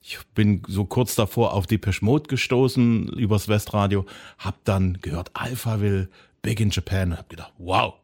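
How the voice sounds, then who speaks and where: A man's voice: lowish voice, moderate pace, darkish tone. A middle-aged man from East Germany hosting a podcast interview, chatty and enthusiastic as he reminisces. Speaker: podcast host. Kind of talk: casual interview chat.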